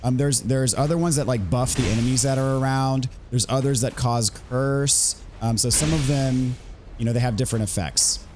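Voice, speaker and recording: A man of ordinary pitch talks through a game walkthrough in a relaxed, explanatory way. His voice is hoarse and not yet fully recovered, and he stumbles into ums and restarts now and then. A faint hiss sits under the recording. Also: moderate pace. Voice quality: recovering from voice loss. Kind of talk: casual gameplay commentary.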